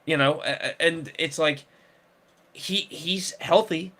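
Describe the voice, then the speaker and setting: A man talks sports in a loose, rambling way, restarting his sentences and padding them with fillers. His voice sits a little higher than most men's. He hosts the show in a casual chat style. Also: slow pace, a bit bright. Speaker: sports podcast host.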